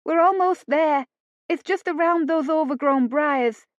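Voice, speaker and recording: A woman's voice: high voice, a little slow, no echo, voice pushed up. A woman voicing a pushy, scheming young country woman who urges and wheedles. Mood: urging. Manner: urging, wheedling. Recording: dull lo-fi audio.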